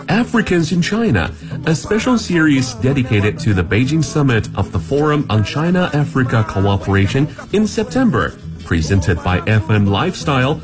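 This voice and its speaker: A man reading scripted radio promotional narration in a dramatic, emphatic manner. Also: medium pitch. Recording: music under the voice.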